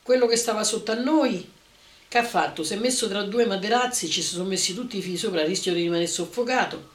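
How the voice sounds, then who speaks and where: A woman's voice: medium pitch, quick pace. An older woman with a Roman accent giving spoken testimony as a witness. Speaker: witness recalling wartime. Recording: roomy, slightly noisy archive interview.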